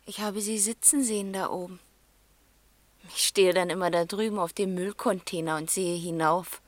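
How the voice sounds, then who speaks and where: Eine Schauspielerin spielt im Hörspiel eine verletzte, trotzige Tochter im Teenageralter, offen und stockend, oft leise werdend. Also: female voice, on the low side, steady tone, normal speed, no echo, lightly acted. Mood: curious, teasing. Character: wounded, defiant teenage daughter, frank and vulnerable. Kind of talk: naturalistic German radio drama dialogue.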